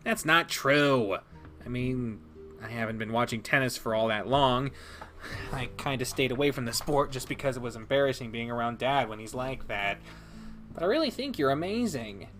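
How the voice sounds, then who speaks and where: Man playing a soft, sheepish teenage boy. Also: mid-range voice, animated, moderate pace, faint music behind, natural voice. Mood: sheepish, earnest. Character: shy, soft-spoken, easily embarrassed teenage boy.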